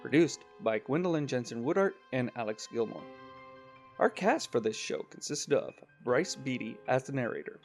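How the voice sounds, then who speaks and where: A man's voice: mid-range voice, expressive, normal speed. A man reading scripted closing credits as an announcer. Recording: music behind the voice.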